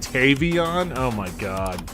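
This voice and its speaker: A man's voice: mid-range voice, very animated, slow pace, music in the background. A man giving casual, off-the-cuff commentary as a streaming host, with a sardonic, skeptical way of talking and some swearing. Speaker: host of a gaming podcast and stream.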